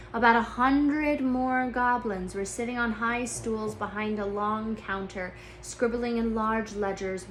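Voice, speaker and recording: A woman reading a children's book aloud as a teacher would, in expressive storybook narration. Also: a little high, slight background noise, rough recording.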